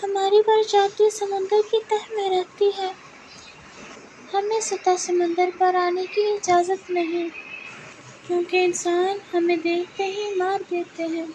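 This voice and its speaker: A woman's voice: very high voice, flat delivery, slow pace, mellow, a little hiss or hum, voice pushed up, low-quality recording. A woman voicing a timid, earnest young mermaid in a children's storybook tale. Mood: earnest, explaining.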